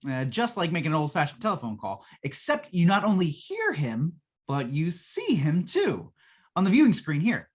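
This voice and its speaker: A woman's voice: fairly low pitch, huge pitch swings, moderate pace, slightly rough, full character voice. A woman playing a folksy, long-suffering salesman in a 1950s-style radio drama, giving colloquial, patient sales patter. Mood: eager, explanatory.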